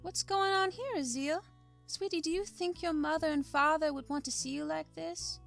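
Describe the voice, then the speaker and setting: A woman playing a gentle, earnest grandmother from a farm in a fantasy visual novel. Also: sits high, fairly even intonation, moderate pace, creaky, faint music behind. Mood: tender, earnest. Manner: tender, earnest, reassuring. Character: gentle elderly grandmother who runs a farm.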